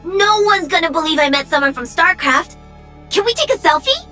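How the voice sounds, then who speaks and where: A woman's voice: very high voice, sing-song, normal speed, bright, forceful tone, soft background music, heavily acted. A woman voicing a perky, cocky young female pro-gamer mech pilot for a video game. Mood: excited. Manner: bubbly, cocky, teasing.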